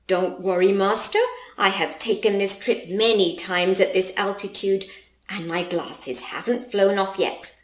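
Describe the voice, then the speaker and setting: A proud, proper gentleman goose, as a woman storyteller plays him, unhurried and formal. He delivers lines like airline announcements in a children's tale, with a roomy echo. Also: mid-range voice, steady tone, natural voice. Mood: reassuring. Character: dignified, self-assured male goose in glasses, serving as transport.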